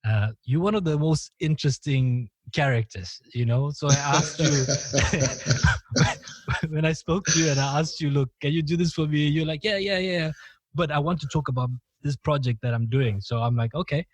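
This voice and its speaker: A man's voice: on the high side, normal speed, no room sound. A young man from Malawi hosting a podcast, chatting casually and laughing often between filler words. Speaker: podcast host. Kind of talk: casual interview chat.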